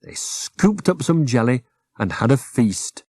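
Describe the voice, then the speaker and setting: A man's voice: medium pitch, sing-song, a little slow, a little mellow, dry recording. A man narrating a children's audiobook from a script, expressively, as a storyteller who acts out the dialogue. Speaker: storyteller, author reading own book.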